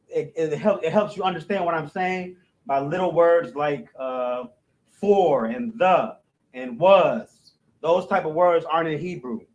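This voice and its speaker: Male instructor, slightly higher voice, halting informal classroom delivery. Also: darkish tone, lo-fi sound. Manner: loose and repetitive, stumbles and restarts, keeps asking "you know what I'm saying"; explains slowly, step by step. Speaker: religious language instructor.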